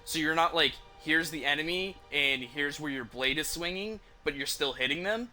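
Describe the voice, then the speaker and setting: A man's voice: fairly high pitch, normal speed, bright, forceful tone. Casual male streamer over prominent music. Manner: rambling, informal, explanatory. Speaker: livestream host, gamer. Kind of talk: casual unscripted chat to stream viewers. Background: music behind the voice.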